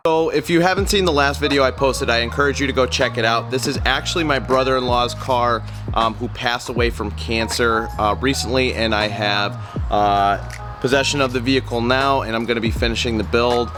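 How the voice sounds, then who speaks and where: A man's voice: medium pitch, steady tone, fairly quick. A young man with a nasal voice from a cold, talking in an easygoing, earnest way through a casual car walkaround vlog with many ums and uhs. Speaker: car vlogger. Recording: music playing underneath.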